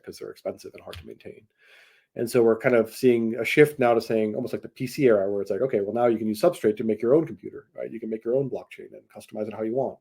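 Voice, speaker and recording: A man giving casual, explanatory interview answers as a tech executive, speaking in a halting, rambling way on a video call. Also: lowish voice, gravelly, a little mellow, breathy edge. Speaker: tech company chief executive, guest.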